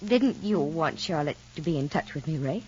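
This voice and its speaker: A woman's voice: deep voice, expressive, normal speed, a little mellow, a little hiss or hum. An actress playing an anxious, questioning mother figure in an old radio soap, speaking with emotion, on a lo-fi vintage recording. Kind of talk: 1940s radio acting.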